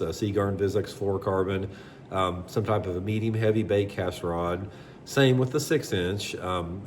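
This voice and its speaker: A man with a fairly low voice walks through fishing tackle tips in an easygoing, conversational way, dotted with ums and uhs. His voice sounds a little stuffed up from allergies. A faint hiss sits under the recording. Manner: relaxed, conversational, many fillers. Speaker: bass fishing channel host. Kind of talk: casual tackle demonstration.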